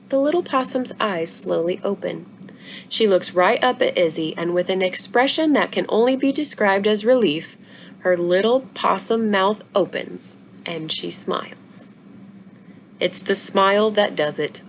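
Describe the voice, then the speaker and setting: A woman's voice: mid-range voice, a little hiss or hum. A woman reading a children's book aloud expressively, as a story-time narrator. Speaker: library story-time reader.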